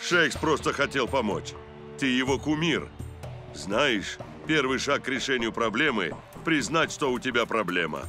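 A man voicing a calm, supportive football team captain in an animated cartoon, reassuring and brotherly. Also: medium pitch, moderate pace, over music, natural voice.

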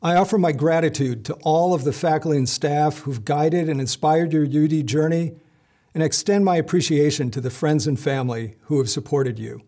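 A middle-aged man gives a measured, solemn commencement address from a lectern on an indoor stage. His voice is a little high but mellow and dark in tone. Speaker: university leader giving commencement address. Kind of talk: formal scripted speech. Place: indoor stage with curtain backdrop.

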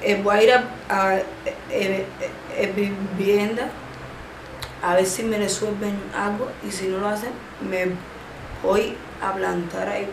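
A young woman in her twenties with a Cuban accent, speaking haltingly with a stammer and many drawn-out fillers while giving personal testimony in an interview. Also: medium pitch, level tone. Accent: Cuban Spanish. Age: 20s. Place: small indoor room, seated. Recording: echoey room with background noise.

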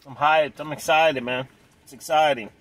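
A man in his forties vlogging from inside a car, talking excitedly in a casual, repetitive way and clearing his throat often. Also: a little high, normal speed. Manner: hyped, repeats himself, clears throat often. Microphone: dashboard camera close up.

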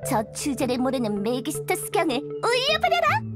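Very high, grandiose princess girl. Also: huge pitch swings, normal speed, music in the background. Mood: imperious. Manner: pompous, declamatory. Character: theatrical, grandiose self-styled princess girl. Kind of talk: video game voice acting.

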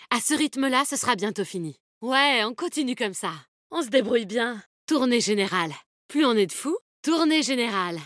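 An actress playing a tough, animal-loving female fighter in a video game, speaking in a brisk, confident, slangy way. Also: fairly high pitch, no echo, studio quality, natural voice. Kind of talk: video game character voice lines.

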